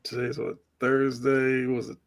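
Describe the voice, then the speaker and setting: A man joins a casual sports podcast as a panelist. He lays out his reasoning at length in a measured, easygoing way, with a mid-range voice. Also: mid-range voice, flat delivery, a loud crowd.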